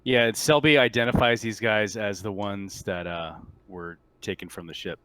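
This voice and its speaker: A man's voice: on the low side, steady tone, normal speed, a bit bright, smooth. A man acting as game master, narrating a tabletop roleplaying game and talking with the players.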